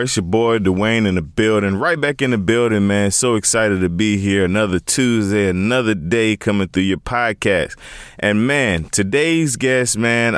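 A man's voice: on the low side, clean tone, dry recording, clean studio sound. A man hosting a radio-style podcast, upbeat and hyped, dropping "man" into his sentences. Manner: upbeat, hype, repeats "man". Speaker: podcast host. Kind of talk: casual radio-style interview.

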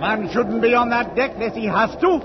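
An actor playing a stolid, understated ship's captain in a 1940s radio drama. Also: male voice, very high-pitched, a little fast. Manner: understated, matter-of-fact, terse. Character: stolid, unflappable male sea captain in a storm. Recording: noisy muffled 1940s radio recording.

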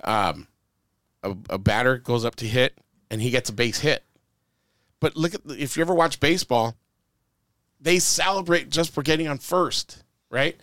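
A man's voice: mid-range voice, normal speed, pauses often, fairly bright tone, no echo, studio quality. A man co-hosting a podcast, giving casual marketing advice in a rambling way, full of fillers and sports analogies. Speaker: podcast co-host.

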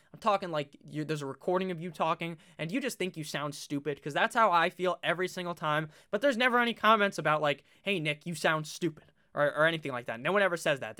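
A man's voice: on the high side, smooth. A young man talking casually to his webcam about a fantasy football draft, swearing freely, his voice a little nasal. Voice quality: slightly nasal. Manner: swears freely, chatty and animated. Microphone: webcam setup.

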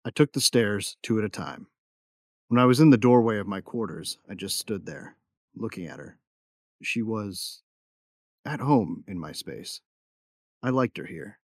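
Low-voiced brooding male bounty hunter. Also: expressive, measured pace, pauses often, no room sound, clean studio sound. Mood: brooding, intimate. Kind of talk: first-person audio drama narration.

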